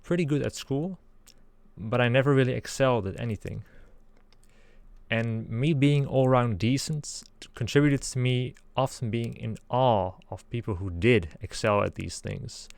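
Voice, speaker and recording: A man's voice: medium pitch, vocal fry, clear, resonant tone, dry recording. A man in his twenties from the Netherlands, Dutch accent, giving an earnest, carefully hedged monologue as a channel host. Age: 20s. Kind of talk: scripted monologue.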